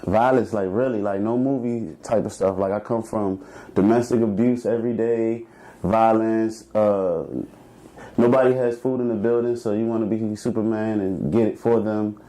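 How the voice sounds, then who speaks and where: A man's voice: on the low side, steady tone, moderate pace, warm tone, low-quality recording. A man from Washington, D.C., co-hosting a talk show in laid-back casual chat, full of "like" and "you know".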